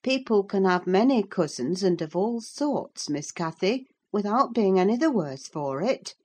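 A woman's voice: mid-range voice, lively intonation, a little slow, a little mellow, breathy edge, voice pushed up. A woman voicing a sensible nursemaid in an audiobook, placating and mildly chiding. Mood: soothing. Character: sensible, soothing nursemaid to a young lady. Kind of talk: audiobook character voice.

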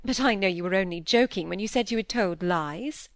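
A woman's voice: medium pitch, a little fast, dry recording, studio quality, close to an everyday voice. A woman voicing a genteel married lady in a period novel, gushing, affected, fawning and self-pitying. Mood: flustered, fawning. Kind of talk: audiobook character voice in a period novel.

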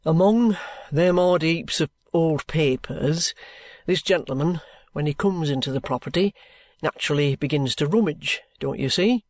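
A reader voicing a sly, genial middle-aged male detective with a cheerful, coaxing, unhurried manner. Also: mid-range voice, no echo, lightly acted. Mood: wheedling. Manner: cheerful, coaxing, leisurely drawl. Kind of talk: audiobook character reading.

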